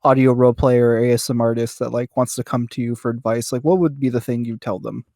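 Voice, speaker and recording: A man interviewing casually on a podcast in a hesitant, halting way, padding questions with "like". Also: medium pitch, fairly even intonation, warm tone, dry recording, studio quality. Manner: hesitant, halting, often says like. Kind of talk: casual chat.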